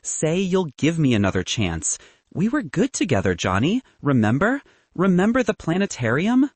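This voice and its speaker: A man's voice: fairly high pitch, huge pitch swings, moderate pace, a little mellow, no echo, voice pushed up. A man voicing a confident, manipulative young woman in an audiobook, casually dismissive and coaxing.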